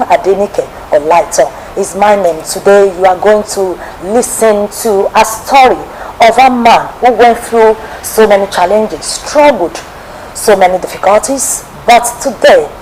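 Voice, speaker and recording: A woman with a Nigerian accent presenting and narrating a script in a formal, deliberate storytelling manner. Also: mid-range voice, a little mellow, slight background noise, low-quality recording. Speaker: television presenter. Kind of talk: scripted programme introduction and biographical narration.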